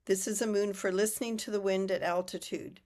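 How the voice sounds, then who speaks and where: A woman reading a prepared text aloud on a video call at a steady, measured pace. Her voice has not fully come back after she lost it. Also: mid-range voice.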